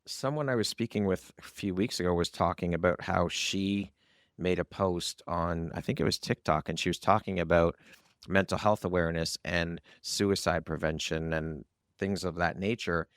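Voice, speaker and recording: Deep-voiced man hosting an interview podcast. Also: steady tone, moderate pace, dry recording, studio quality. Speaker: podcast host. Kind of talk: conversational interview.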